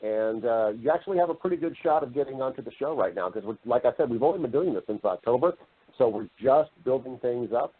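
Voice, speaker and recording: A man's voice: mid-range voice, expressive, fast talker, breathy edge. A man speaking casually and affably as an interview guest, explaining things in a conversational way. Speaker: podcast guest, voice-over artist and narrator. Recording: muffled band-limited internet radio call.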